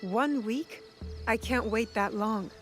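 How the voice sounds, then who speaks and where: A woman's voice: fairly high pitch, slow. An actress playing a composed, bold young woman who poses as a merchant in a video game, poised, polite and direct. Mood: direct, determined. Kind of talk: video game cutscene acting. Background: background music.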